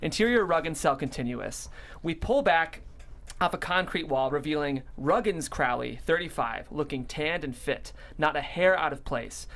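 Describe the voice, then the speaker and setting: Animated woman reading stage directions. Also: low voice, normal speed. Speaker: host and stage direction reader. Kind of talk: scripted narration at a comedy table read.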